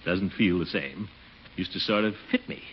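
An American actor playing a pompous, fussy, easily flustered middle-aged uncle in a 1940s radio comedy. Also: male voice, low voice, normal speed, breathy edge. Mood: bashful. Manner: pompous blustering, stammers and chuckles when flustered. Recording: muffled, noisy 1940s radio recording.

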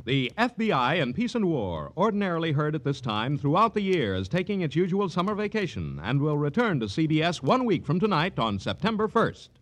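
A man's voice: fairly high pitch, very animated, a little fast, few pauses. A man working as an announcer in formal mid-century radio style.

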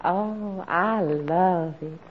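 A woman's voice: on the low side, extremely slow. An actress playing a polite, coy young woman in a 1940s radio comedy, speaking in a demure, well-spoken way. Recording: muffled noisy old radio, studio audience.

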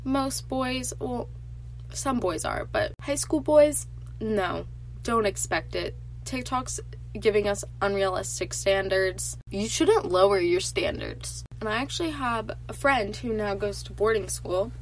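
A woman's voice: mid-range voice, measured pace, a little hiss or hum, low-quality recording. Teenage girl, nasal from allergies. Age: teens. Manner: chatty and animated, lots of filler "like". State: congested from allergies. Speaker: podcast host. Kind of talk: casual solo podcast chat.

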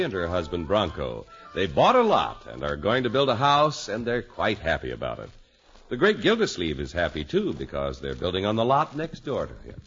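A man announcing a 1950s radio program and reading its scripted sponsor message. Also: fairly low pitch. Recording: muffled vintage radio recording with music.

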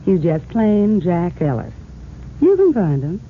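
Cool, tough dame, tinny sound. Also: female voice, lowish voice, very animated, a little slow. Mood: guarded, insistent. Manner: cool, blunt, knowing. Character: tough, guarded young dame with a tip. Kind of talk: 1940s radio acting. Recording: tinny, hissy old radio recording.

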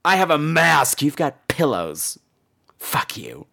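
A man with an American accent delivers a comedic monologue in a high-set voice. His pitch swoops widely as he exaggerates for effect. The delivery is campy, theatrical and freely profane. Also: big pitch swings, slow, vocal fry, sharp tone. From United States. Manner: profane, blunt. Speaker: podcast host. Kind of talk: comedic personal storytelling monologue.

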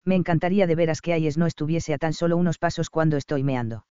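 A man narrating a first-person romance audiobook in a calm, even storytelling manner, in a slightly dull, dry recording. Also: fairly high pitch, fast, smooth, dry recording.

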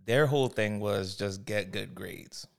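Low-voiced man in podcast chat. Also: unhurried, vocal fry. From United States, Haitian family. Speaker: podcast co-host.

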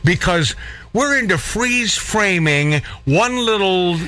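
A man's voice: sits high, lively intonation, takes it slowly, pushed and bright, no echo. A middle-aged or older American man hosting an unscripted talk show, opinionated, brash and ribald in a rambling, emphatic way.

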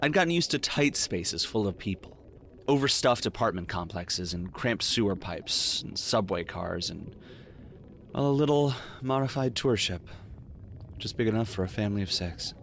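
An actor playing a world-weary, wry detective in a noir audio drama, brooding and sardonic. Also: male voice, on the low side, expressive, normal speed, a bit bright, slight background noise. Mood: uneasy, reflective. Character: world-weary, wry male detective narrating his story. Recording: faint music behind the voice.

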